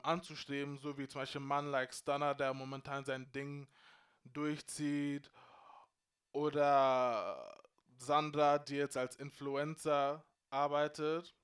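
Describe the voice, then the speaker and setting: Smooth-voiced man mixing in English phrases. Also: on the high side, fairly even intonation, takes it slowly, fairly bright tone. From Bavaria, Germany. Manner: drops English phrases into his talk. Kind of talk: casual group discussion.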